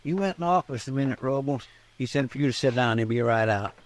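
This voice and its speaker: An actor playing a loyal frontier deputy sidekick with an American accent and folksy, ungrammatical talk in a radio western. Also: male voice, mid-range voice, quick pace, close to an everyday voice. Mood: helpful, matter-of-fact. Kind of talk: old time radio western acting.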